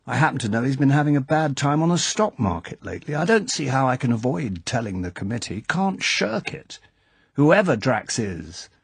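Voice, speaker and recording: A man's voice: mid-range voice, creaky, husky, no echo, voice pushed up, heavily acted. A man voicing an indignant older club chairman who bursts out in angry, worried exclamations. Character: blustering older male club chairman, easily angered.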